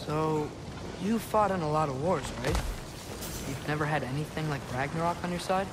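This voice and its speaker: An actor playing an earnest, hopeful teenage warrior son in a video game, speaking in an eager, conversational way. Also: male voice, a little high, normal speed, noisy background, lightly acted. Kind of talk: video game drama acting. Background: loud music.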